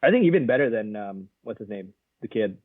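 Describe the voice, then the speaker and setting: A man's voice: fairly low pitch, big pitch swings, fairly quick, slightly rough, no room sound. A man co-hosting a casual film review podcast, rambling with lots of fillers and frequent laughs. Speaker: podcast co-host. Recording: narrow phone-like sound.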